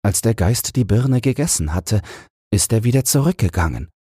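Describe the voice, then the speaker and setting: A fearful servant in a fairy tale, acted by a male storyteller. The gardener speaks in a raised, breathy voice with careful, earnest awe, sounding timid and reverent. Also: on the low side, expressive, moderate pace, warm tone, dry recording, studio quality, pitched above the performer's own voice.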